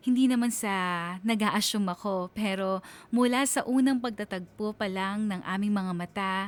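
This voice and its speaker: A woman's voice: medium pitch, close to an everyday voice. An actress playing a wistful woman in her late twenties with a Filipino accent, narrating her love story in a radio drama. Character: wistful twenty-nine-year-old female bank manager recounting lost love.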